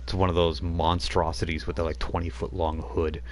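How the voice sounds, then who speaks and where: A deep-voiced man narrating as game master, wandering through his descriptions with loose, offhand asides. A faint hiss runs under the voice. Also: level tone, normal speed. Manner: loose, rambling scene-setting with casual asides.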